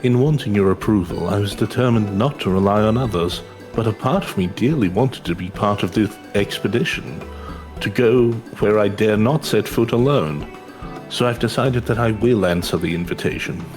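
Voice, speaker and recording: A man's voice: on the low side, normal speed, over music, natural voice. A man voicing a polite, earnest young male adventurer in a fantasy game, with formal, sincere and careful phrasing. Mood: earnest, nervous. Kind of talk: fantasy game character read-aloud.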